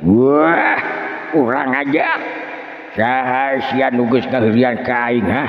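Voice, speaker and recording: A man's voice: mid-range voice, lively intonation, takes it slowly, vocal fry, no room sound. A man voicing a furious old village sorcerer in a Sundanese folk tale, coarse and menacing, cursing and threatening. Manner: coarse, shouting curses and threats. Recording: dull lo-fi audio.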